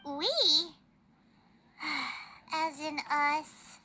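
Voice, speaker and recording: A woman voicing a small, childlike game companion with a squeaky voice and a blunt, exasperated manner. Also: flat delivery, drawn-out words, sharp tone, a little hiss or hum, heavily acted. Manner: blunt, indignant. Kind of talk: video game character acting.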